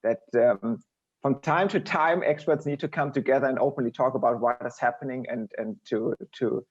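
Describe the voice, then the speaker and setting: A dull, lo-fi online recording carries a man at a middle pitch. He discusses his industry conversationally, doubling back on phrases and adding little tag questions as he goes. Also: normal speed, breathy edge. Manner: repeats phrases, tags statements with "right?". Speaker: podcast guest, industry executive. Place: remote online podcast connection. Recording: dull, band-limited online call audio.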